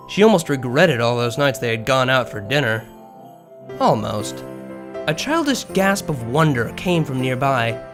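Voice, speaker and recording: A man reading a story aloud as an expressive narrator, in scripted prose. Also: a little high. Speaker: narrator of a fan fiction story. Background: background music.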